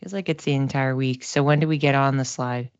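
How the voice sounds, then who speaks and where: A woman giving a casual, informal tarot reading as a psychic, reflective and halting, often giggly as she talks. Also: rumbling low, steady tone, clear, resonant tone, no room sound, lo-fi sound.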